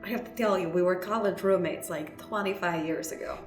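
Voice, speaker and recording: A woman's voice: on the low side, fairly quick, reverberant, soft background music, natural voice. A woman playing a breezy, earnest middle-aged lawyer in an improvised comedy radio play. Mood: flustered, confessional.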